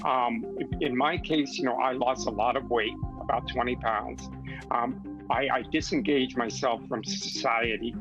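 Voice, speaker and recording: A man speaking candidly as an interview guest, earnest and reflective, with halting restarts. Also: medium pitch, fairly even intonation, highs cut off, music in the background. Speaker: magazine editor, interview guest.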